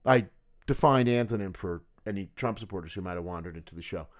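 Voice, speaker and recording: A man hosting a political podcast, talking solo in a sarcastic, combative way with a gravelly voice. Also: deep voice, fast. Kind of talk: opinionated solo commentary.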